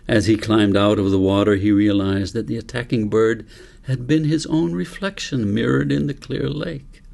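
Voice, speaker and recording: A man narrating a children's audiobook in a measured, deliberate storytelling manner. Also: medium pitch, creaky. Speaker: author reading own book aloud.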